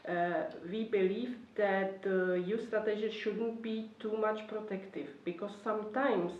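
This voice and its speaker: A woman with a Czech accent explains policy as an official addressing online learners. Her medium-pitched voice moves carefully and haltingly through non-native English. The recording is roomy and slightly dull, picked up at some distance from the microphone. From Czech Republic. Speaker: government youth policy official. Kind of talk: explanatory talk for an online course.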